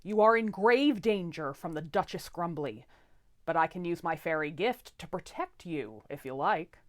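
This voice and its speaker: A gallant young prince played by a woman, speaking softly and earnestly. His courtly lines belong to a storybook fairy tale. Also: lowish voice, animated, moderate pace, close to an everyday voice.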